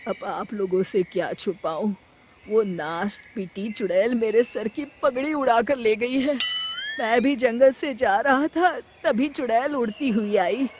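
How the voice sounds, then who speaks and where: A woman's voice: fairly high pitch, unhurried, background noise, lightly acted. A woman voicing a troubled, plaintive village headman in a storybook tale. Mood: aggrieved. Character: troubled village headman, adult man, complaining.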